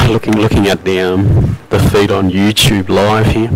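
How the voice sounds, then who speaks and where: A man with an Australian accent and a robust, loud voice, giving relaxed, chatty live commentary on a pool match with frequent fillers. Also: lowish voice, a little slow, very noisy. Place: venue at a pool championship.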